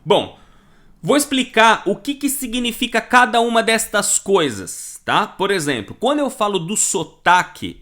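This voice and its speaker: A man with a Brazilian Portuguese accent gives a live online lesson, talking with animated emphasis and putting rhetorical questions to the viewer. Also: sits high. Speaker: online Italian course teacher.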